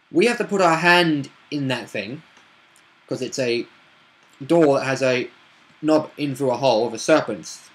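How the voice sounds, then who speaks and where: Man rambling through a game recap. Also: on the high side. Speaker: tabletop roleplay player recapping a session. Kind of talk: casual unscripted game recap.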